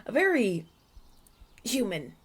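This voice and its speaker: A man's voice: high-pitched, wildly swooping pitch, slow pace, husky, heavily acted. A man playing a flustered, polite young human prince in a fantasy audio roleplay, stammering and awkward. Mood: relieved, awkward. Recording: outdoor nature ambience behind.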